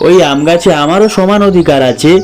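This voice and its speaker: A man's voice: high voice, brisk, soft background music, close to an everyday voice. A man voicing an honest younger brother in a village cartoon, earnest and pleading. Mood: earnest protest.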